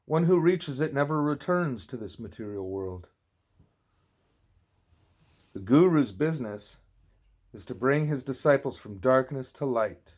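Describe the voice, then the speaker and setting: A man's voice: mid-range voice, expressive, measured pace, pauses often, darkish tone. A man reading a spiritual teaching aloud like an audiobook, occasionally stumbling and repeating words. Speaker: audiobook reader.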